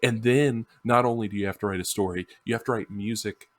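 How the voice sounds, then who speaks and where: A man's voice: fairly low pitch, fairly even intonation, a little fast. A man co-hosting a podcast, discussing music in an informal, emphatic, casual way. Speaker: podcast co-host.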